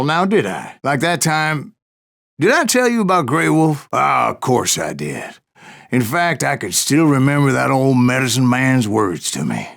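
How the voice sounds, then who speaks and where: An actor playing a grizzled old bounty hunter in a video game, narrating boastful tall tales in a gravelly American Western drawl. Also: male voice, medium pitch, a bit bright. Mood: sly, boastful, then ominous. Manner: folksy frontier drawl, boastful, salty storyteller.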